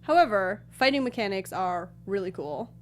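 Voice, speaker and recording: A woman's voice: mid-range voice, lively intonation, normal speed, slight background noise. A woman co-hosting a talk show, chatting casually about video games.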